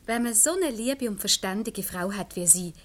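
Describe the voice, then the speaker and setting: A woman's voice: medium pitch, expressive, moderate pace, natural voice. An actress with a Swiss German accent playing a clever, teasing woman in a radio comedy. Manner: teasing, self-possessed. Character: clever, teasing, confident young woman, wife's scheming friend. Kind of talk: comedic radio play acting.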